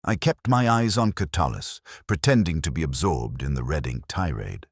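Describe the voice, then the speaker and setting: Very low male voice, scripted mystery narration, brooding, introspective, measured delivery, dry close sound. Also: very animated, husky, no room sound. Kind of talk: scripted first-person mystery narration, literary audiobook.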